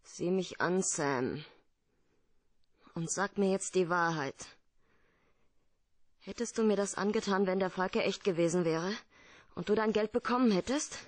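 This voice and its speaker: Radio-drama femme fatale: lowish pitch, desperate, reproachful, emotional, recorded dry. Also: female voice, a little slow, pauses often, no echo. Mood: grave, demanding. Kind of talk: radio play acting.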